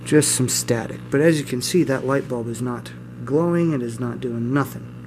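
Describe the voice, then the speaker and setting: A man's voice: mid-range voice, normal speed. A man talking casually and informally as he gives a hands-on demonstration, rambling a little and self-deprecating. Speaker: hobbyist video host. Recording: steady mains hum and room noise. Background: electrical hum and faint static.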